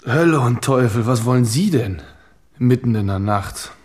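Loud ambience surrounds this roomy recording of a man acting an irritable young doctor in a radio drama. He is gruff, indignant and urgent, with a mid-pitched voice. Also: lively intonation, normal speed, lightly acted. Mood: annoyed, sceptical. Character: irritable but helpful male doctor, young-looking, tense. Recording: roomy echo, loud ambient noise behind.

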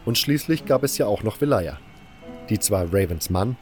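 A man playing a fantasy convict tells of life in the camp, first person, as in an audio drama. The voice is on the low side and the telling is measured and matter-of-fact, over faint music. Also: lively intonation, darkish tone, lightly acted. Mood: matter-of-fact recollection.

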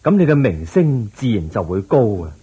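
An actor playing a serene, wise Buddha in a Cantonese radio drama, teaching patiently and solemnly with a Hong Kong accent in drawn-out phrases. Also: male voice, fairly low pitch, sing-song, dark tone, breathy edge, highs cut off, heavily acted. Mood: encouraging.

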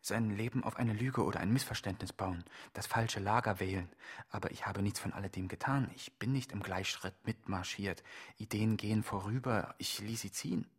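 A man's voice: lowish voice, moderate pace, husky. An actor playing an accused man in a radio play, insistently and rhetorically pleading his total innocence. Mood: reflective, defensive. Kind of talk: theatrical radio play monologue, litany-like.

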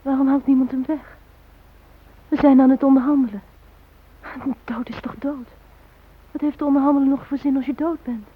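Actress playing grieving young fiancée, high-pitched, halting, anguished, radio drama, muffled lo-fi recording. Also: female voice, level tone, natural voice. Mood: anguished, pleading. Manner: anguished, halting, addressing the dead. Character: grieving young bride-to-be mourning her shot fiancé. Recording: dull, lo-fi old radio recording.

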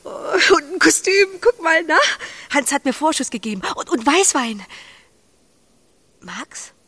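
A woman's voice: sits high, sing-song, unhurried, a bit bright, dry recording, heavily acted. An actress playing a guileless, earthy farm woman in an audio drama, speaking in an artless, blunt, unguarded way. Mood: giddy, bubbling. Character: Emma, lonely, earthy, guileless country pig farmer woman.

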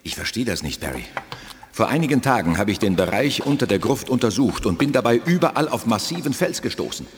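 An earnest male crewman with teleporting powers explains himself with puzzled sincerity and lively intonation. A long reverb trails him, in old radio play style. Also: fairly low pitch, fairly quick, faint music behind. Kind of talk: 1980s radio play acting.

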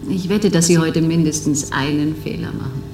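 Actress as a mellow-voiced, dutiful test examiner. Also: female voice, low-pitched, normal speed, slight background noise, close to an everyday voice. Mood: businesslike. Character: dutiful, cool female clinic test examiner. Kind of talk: German radio play acting. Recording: roomy, echoing studio sound.

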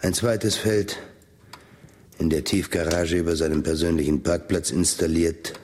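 A man's voice: low voice, fairly even intonation, measured pace, a little mellow, a little hiss or hum. An actor playing a cold, calculating businessman who narrates a radio drama in a dry, matter-of-fact, sardonic way. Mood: coolly matter-of-fact. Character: cold, calculating businessman recounting his scheme. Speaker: narrator and lead character.

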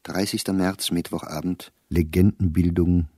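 Radio play diarist: educated, bitter, reflective middle-aged man; dark tone, very dry close studio sound. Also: low-pitched, steady tone, normal speed, breathy edge, no room sound. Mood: bleak. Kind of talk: radio play, literary diary reading.

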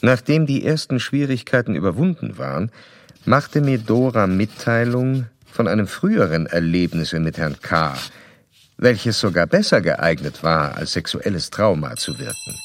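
Animated, clinical doctor. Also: male voice, on the low side. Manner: measured, clinical, formal. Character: composed, probing male doctor narrating a case study. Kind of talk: formal case-report narration in radio play.

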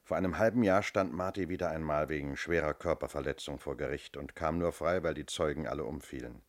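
An actor playing a shrewd, self-assured police inspector in a radio crime drama, speaking firmly and methodically. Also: male voice, very deep voice, brisk. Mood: certain, explanatory. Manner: methodical, firm, explanatory.

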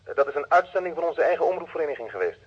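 An actor playing a courteous, businesslike studio attendant on the telephone in a vintage radio play, with a Netherlands Dutch accent. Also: male voice, fairly high pitch, expressive, slightly rough. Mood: brisk, polite. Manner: polite, brisk, businesslike. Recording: muffled telephone-like old radio recording.

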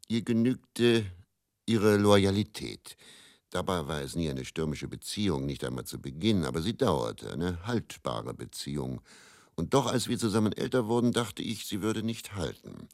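An actor playing a bitter, world-weary travelling faith healer and quack in a radio play monologue, musing and theatrical. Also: male voice, low voice, creaky, no echo, clean studio sound, exaggerated voice. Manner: brooding, musing, repeats words, theatrical shifts between murmur and outburst.

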